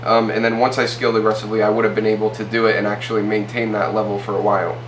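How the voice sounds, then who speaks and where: A man's voice: lowish voice, level tone. A young man in his twenties with a stuffy nose gives a casual, loose update to the camera from a small room. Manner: fast, loose, filler-heavy talk. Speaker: e-commerce YouTuber. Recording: roomy with faint hum.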